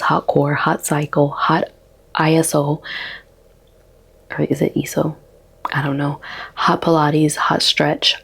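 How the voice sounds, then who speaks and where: A woman in her thirties with a soft, relaxing voice, talking slowly and haltingly in a casual daily vlog. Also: low voice, gravelly, husky. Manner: slow, halting, with many ums. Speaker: vlogger.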